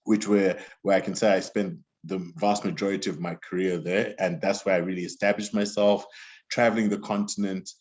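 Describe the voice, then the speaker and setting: A man's voice: sits low, fairly even intonation, a little fast, a little mellow. A man from Zimbabwe, a guest in his thirties, talking casually in an interview and often restarting his sentences with fillers. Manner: rambling, many restarts and fillers. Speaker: interview guest, music executive. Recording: dull lo-fi sound.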